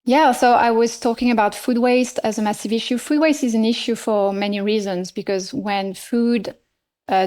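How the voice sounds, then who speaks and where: Middle-aged woman, native French speaker, French accent; podcast guest, measured and thoughtful with hesitations. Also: mid-range voice, darkish tone. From France. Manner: measured, many fillers and restarts. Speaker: nonprofit CEO, guest. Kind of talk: podcast interview.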